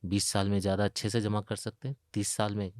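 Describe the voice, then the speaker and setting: An Indian man speaks in a fairly low voice, recorded close and dry. He explains like a financial expert in an interview, walking through examples and putting questions to his listener. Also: level tone, fast talker, darkish tone, dry recording. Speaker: retirement expert, pension fund investment chief.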